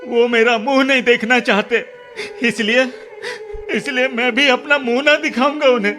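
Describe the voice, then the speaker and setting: A man playing a remorseful, tearful younger brother in a radio drama, pleading haltingly and repeating his words. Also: very high-pitched, fairly even intonation, bright, forceful tone. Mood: sorrowful, resigned. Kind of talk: Hindi radio drama acting. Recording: music under the voice.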